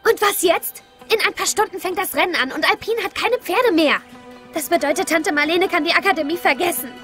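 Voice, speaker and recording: A woman's voice: very high voice, a little fast, a bit bright, faint music behind. An actress playing a perky, cheeky young girl, a little sister in a children's cartoon. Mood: worried.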